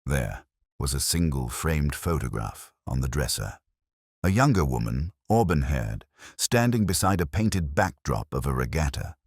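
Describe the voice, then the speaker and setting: A man with an extremely low voice narrates a scripted mystery. His pitch moves expressively through the sentences, and the recording is dry, with little room sound. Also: very animated, unhurried, husky, no echo. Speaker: audiobook narrator.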